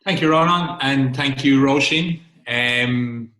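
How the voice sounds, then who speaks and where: A man in his thirties with a Cork Irish accent, calmly leading a wellbeing webinar and gently inviting listeners into an exercise. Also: medium pitch, takes it slowly, roomy sound. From Ireland. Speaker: workshop facilitator. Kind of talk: webinar presentation. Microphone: video call.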